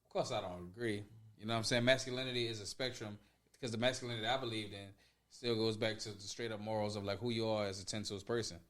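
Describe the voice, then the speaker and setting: A man with a New Orleans accent on a casual podcast, halting as he searches for words and peppering in slang. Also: lowish voice, fairly even intonation, fairly bright tone. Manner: halting, searches for words, says "dog" and "bro". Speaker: podcast co-host.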